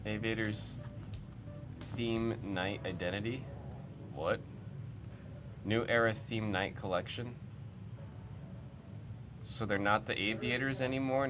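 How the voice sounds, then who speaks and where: A young man with a somewhat low voice hosts a livestream in a loose, chatty way. He rambles and puzzles aloud while answering viewers. Clear music plays under his talk. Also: slow pace, long pauses. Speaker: livestream host of card breaks. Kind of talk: casual chat reacting to viewer comments.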